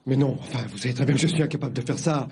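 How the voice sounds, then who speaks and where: An actor playing an earnest, polite academic who is hesitant and easily rattled, in naturalistic film style. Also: male voice, mid-range voice, fairly even intonation, very fast, darkish tone, slight background noise, lightly acted. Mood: flustered, explaining. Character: earnest, flustered academic man working among police. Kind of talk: naturalistic French film acting.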